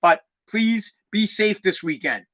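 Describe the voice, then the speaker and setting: A young man with a Boston accent hosting his own podcast, ranting loudly and profanely as he talks straight to his listeners. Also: high voice, lively intonation, slow. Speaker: podcast host. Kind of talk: solo podcast rant.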